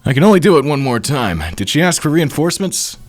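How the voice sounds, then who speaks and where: A man's voice: on the high side, big pitch swings, fast talker, faint music behind, pitched above the performer's own voice. A man voicing an anxious, self-doubting, brainy young man from a comic in an earnest, emotional way. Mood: worried.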